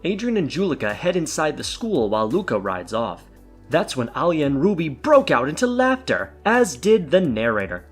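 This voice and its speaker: A male narrator tells a scripted story theatrically, with lively, varied pitch over soft music. His delivery is playful and dramatic, suited to an audio drama. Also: moderate pace, husky.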